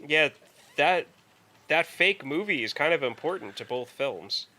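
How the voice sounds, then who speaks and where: A man co-hosting a podcast in casual chat, rambling haltingly and repeating words as he goes. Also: on the high side, expressive, pushed and bright. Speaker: podcast co-host.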